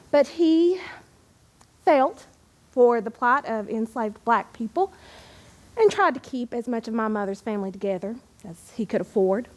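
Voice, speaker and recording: Casting: actress as proud, nostalgic Appalachian woman poet of a bygone era; high voice, very unhurried, theatrical stage storytelling. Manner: dramatic, deliberate, expressive delivery with long pauses. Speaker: historical reenactor reciting poetry. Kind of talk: theatrical first-person living-history monologue with verse recitation.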